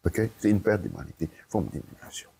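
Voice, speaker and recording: A man's voice: low-pitched, very animated, a little fast, vocal fry, dark tone, a little hiss or hum. A man giving lecturing interview answers, emphatic and repetitive, often checking with "ok?". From Italy. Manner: emphatic, repeats his points, checks with "ok?". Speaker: guest speaker.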